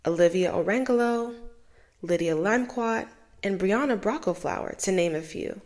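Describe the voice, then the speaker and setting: A young woman host reading a children's book aloud with expressive, animated storytelling. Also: medium pitch, moderate pace.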